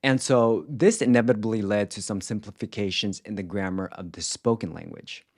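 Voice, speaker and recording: A man presenting a language lesson in scripted instructional narration, explaining clearly and enunciating example words with care. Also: fairly low pitch, moderate pace, a little mellow. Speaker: language teacher presenting a video series.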